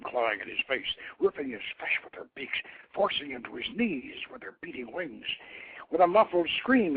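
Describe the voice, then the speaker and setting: A man's voice: medium pitch, vocal fry, no room sound. Theatrical male storyteller on a phone line. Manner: theatrical, swelling to loud and sinking to hushed. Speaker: host reading his own novelette. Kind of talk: dramatic scripted story narration. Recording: narrow-band phone line, internet radio.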